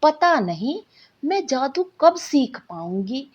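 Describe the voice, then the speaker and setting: A woman's voice: sits high, sing-song, slow, full character voice. A woman voicing an impatient, curious young magical girl in a storybook tale, with a childlike, complaining manner. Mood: sulky, frustrated. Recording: dull lo-fi recording.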